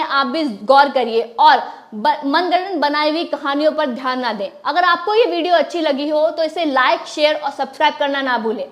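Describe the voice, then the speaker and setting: High-pitched woman reading news commentary. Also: a bit bright. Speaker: news presenter. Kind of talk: scripted news commentary read aloud.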